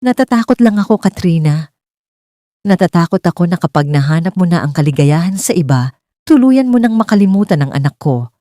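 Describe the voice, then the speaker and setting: A woman's voice: fairly low pitch, expressive, brisk, warm tone, faint music behind, close to an everyday voice. Woman acting a bitter, angry older mother-in-law. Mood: fearful, wounded. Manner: scornful, accusing.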